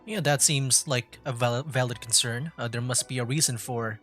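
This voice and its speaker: A man's voice: mid-range voice, moderate pace, a bit bright, faint music behind. A man streaming a game with casual, slightly hesitant live commentary, restarting his sentences.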